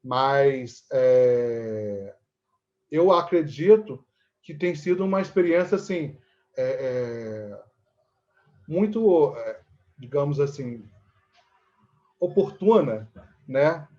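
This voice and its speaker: A man's voice: medium pitch, lively intonation, extremely slow, long pauses, darkish tone, highs cut off. A man with a Brazilian Portuguese accent explaining things conversationally as an interview guest, often checking in with little tag questions. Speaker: interview guest, theatre teacher and actor.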